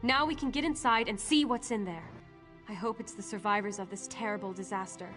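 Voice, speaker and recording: Actress as dry, no-nonsense healer, medium pitch. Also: female voice, fairly quick, a bit bright, faint music behind, lightly acted. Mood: hopeful, sober. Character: brisk, no-nonsense female battlefield healer. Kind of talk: scripted video game dialogue.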